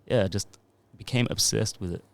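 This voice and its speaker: A man's voice: fairly low pitch, moderate pace, creaky, fairly bright tone, no echo. A man in his thirties, a music producer and DJ, talking in a laid-back, rambling way into a podcast mic. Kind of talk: casual podcast interview.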